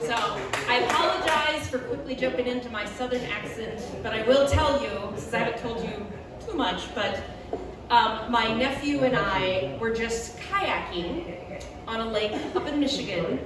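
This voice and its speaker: A woman comedian telling a story on stage at a bar, animated and laughing as she acts out her own lines. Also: a little high, moderate pace. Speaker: stand-up comedian. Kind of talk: storytelling stand-up set. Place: bar or club with a live audience. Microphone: handheld stage mic. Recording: echoey room from far off with crowd noise. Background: crowd murmur and chatter.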